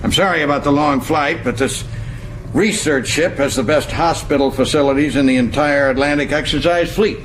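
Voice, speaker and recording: An actor playing a senior navy admiral in a radio drama, folksy and avuncular yet briskly authoritative and sceptical. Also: male voice, fairly high pitch, a little hiss or hum, natural voice, rough recording. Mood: genial, amused.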